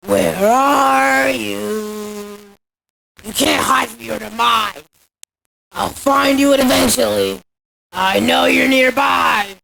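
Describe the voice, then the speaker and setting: A heavily creaky, high-pitched game villain, played by a woman, full of boastful menace. The killer delivers mocking taunts at an unhurried pace, savoring contempt for the prey. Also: fairly bright tone, full character voice, rough recording. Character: taunting, boastful, bloodthirsty speed-obsessed killer monster. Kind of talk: video game character voice lines.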